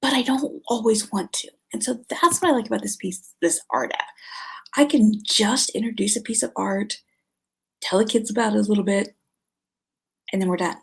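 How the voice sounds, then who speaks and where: Slightly husky middle-aged woman, chatty. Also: medium pitch, moderate pace. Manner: chatty, conversational, thinking aloud. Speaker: homeschool vlog host. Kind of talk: casual informal product recommendations to camera.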